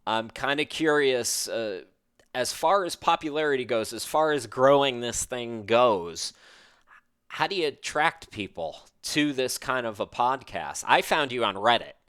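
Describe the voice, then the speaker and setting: A man's voice: mid-range voice, expressive, unhurried, fairly bright tone, clear, resonant tone. A man hosting a casual interview podcast, informally thinking aloud as he frames his questions.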